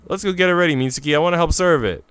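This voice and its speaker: A man voicing an excitable, sweet and insistent little girl in a visual novel read-aloud, childlike and eager. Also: fairly high pitch, very fast, clean tone, no echo, faint music behind, pitched above the performer's own voice, lightly acted. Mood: excited.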